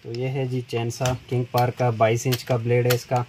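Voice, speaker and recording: A middle-aged man, calmly explaining step by step how to fit a chainsaw blade at a tool shop counter. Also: medium pitch. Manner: plain, unhurried explaining while working with his hands. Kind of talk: step-by-step product demonstration. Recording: noisy shop recording.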